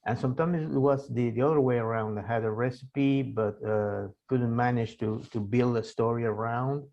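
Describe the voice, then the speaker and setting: A man in his sixties from Argentina, a native Spanish speaker, answering interview questions in a halting way with many fillers and restarts. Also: mid-range voice, steady tone, a little mellow, muffled recording. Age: 60s. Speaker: guest author. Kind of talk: casual interview.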